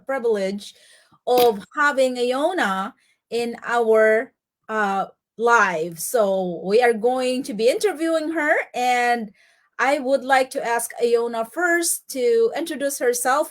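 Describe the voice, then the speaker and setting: A woman hosting a live online interview, welcoming guests in a friendly, halting way. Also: a little high. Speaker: interview host.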